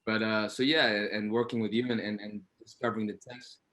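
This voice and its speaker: A man's voice: lowish voice, little pitch movement, fairly quick, fairly bright tone. A man speaking earnestly and emphatically as an actor in a post-show Q&A, often repeating phrases as he reflects. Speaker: actor, interview guest.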